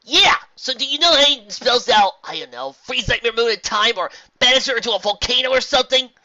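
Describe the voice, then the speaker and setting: A woman voicing a brash, impatient young female flying pony, blunt and excitable. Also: a little high, brisk, dull audio, voice pushed up. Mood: eager.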